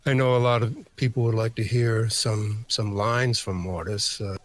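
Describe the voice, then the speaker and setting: A man answering as an interview guest, a voice actor talking casually in a halting, reflective way. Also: lowish voice, steady tone.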